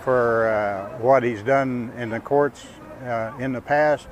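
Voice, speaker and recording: Slow, halting man in street interview. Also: medium pitch, fairly even intonation, slight background noise. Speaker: member of the public interviewed.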